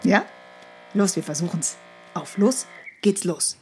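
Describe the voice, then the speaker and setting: An actress playing a gushing, pushy nurse in a satirical radio play, coaxing in a falsely sweet sing-song manner. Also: female voice, on the low side, animated, slow pace, faint music behind. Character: gushing, pushy female nurse egging a patient on. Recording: noisy scene with clicking sounds.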